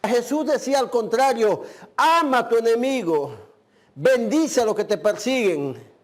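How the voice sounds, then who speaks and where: Male pastor, very high voice, unhurried emphatic sermon delivery. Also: expressive. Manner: slow, emphatic preaching with drawn-out phrases; uses the filler "este".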